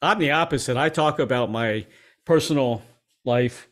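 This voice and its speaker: A middle-aged man from Washington, D.C., hosting an interview show over a video call, affable and chatty. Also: mid-range voice. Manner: affable, quick backchannels. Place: home studio with posters. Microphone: studio mic with headphones.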